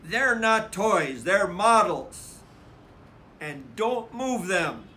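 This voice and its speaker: A man's voice: sits high, animated, very slow, pushed and bright, voice pushed up, heavily acted. A man voicing a stubborn young brother bear cub in a storybook, insistent and indignant. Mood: indignant insisting. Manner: insistent, argumentative.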